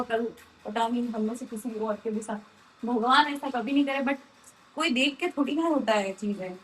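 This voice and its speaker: A woman speaks in a high voice, casual and earnest. She circles back to rephrase what she says. Some ambient noise sits behind her. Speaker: daily vlogger. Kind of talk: casual candid conversation. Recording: clearly noisy ambient background.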